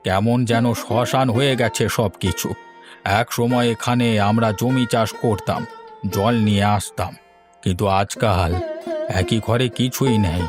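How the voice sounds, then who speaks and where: A man's voice: mid-range voice, measured pace, close to an everyday voice. A man voicing a weary, gloomy old village uncle in a cartoon melodrama, resigned in manner. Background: faint background music.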